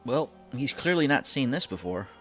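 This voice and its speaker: A man's voice: mid-range voice, very animated, moderate pace, loud music behind the voice. A man in his thirties hosting a reaction video, commenting casually as he watches a show. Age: 30s.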